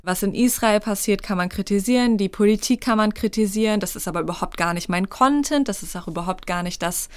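A woman's voice: medium pitch, quick pace, few pauses, clean tone, no room sound. A young woman, a rabbinical student, answering interview questions in an earnest, articulate way. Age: young adult. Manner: earnest, articulate, emphatic at times.

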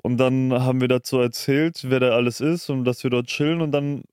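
A man's voice: medium pitch, fairly even intonation, a little fast, darkish tone, clean tone, dry recording, studio quality. A young man chatting casually as a podcast co-host, in colloquial, slangy youth speech. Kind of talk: casual chat.

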